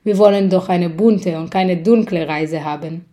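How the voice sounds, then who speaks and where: A woman from Israel, a theatre director, reading a personal letter aloud in an earnest, measured way with small restarts. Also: lowish voice, dark tone.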